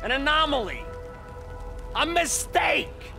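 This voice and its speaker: A man's voice: extremely high pitch, very animated, slow, gravelly, over music, heavily acted. A man playing a defiant captive scientist in a video game, talking with emphatic scorn. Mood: scornful, defiant. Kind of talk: dramatic video game acting.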